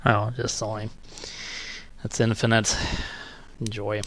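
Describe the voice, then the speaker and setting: A man's voice: on the low side, monotone, takes it slowly. A man giving casual let's play commentary, muttering offhand to himself as he plays. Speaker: gaming video host. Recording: noisy game ambience behind the voice. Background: game ambience.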